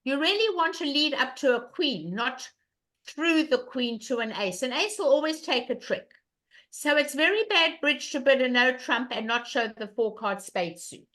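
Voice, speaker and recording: An older woman leads an online bridge lesson with a loud voice. Her tone sits high and bright, and she hammers home points and repeats her questions to the class. She is heard through a webcam at home. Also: lively intonation, normal speed. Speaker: bridge teacher.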